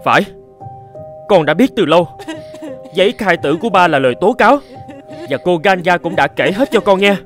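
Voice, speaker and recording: A poor teenage boy in a cartoon folk tale. The voice is fairly high and earnest, dramatic and full of longing and resentment, with music underneath. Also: expressive, normal speed, long pauses, a bit bright. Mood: angry, accusing. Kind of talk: animated moral fairy-tale dubbing.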